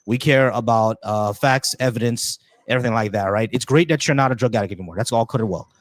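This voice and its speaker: A man's voice: medium pitch, fast talker. A man hosting a call-in debate who keeps interrupting and pressing the same question again and again. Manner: insistent, repeats questions and interrupts. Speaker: debate show host.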